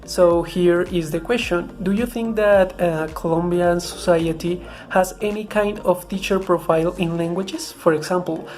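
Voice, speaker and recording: A woman with a fairly low voice acts as the interviewer. She introduces the guest and reads a question in a scripted, read-aloud manner. Faint music sits underneath. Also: steady tone, slow, few pauses, darkish tone. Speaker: student interviewer.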